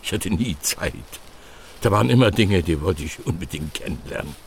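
An actor playing a prickly, pedantic older scientist in a German radio drama, speaking in a precise, abrupt, formal way. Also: male voice, deep voice, lively intonation, normal speed, gravelly, a little hiss or hum. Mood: curious, musing. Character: old scientist, curt, prickly, pedantic, lonely man.

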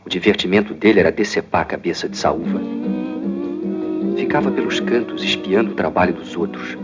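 A solemn storyteller voiced by a man narrates a mock-heroic tale in a low, measured voice. The old film sound is dull, with prominent music underneath. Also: natural voice. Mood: solemn, wry.

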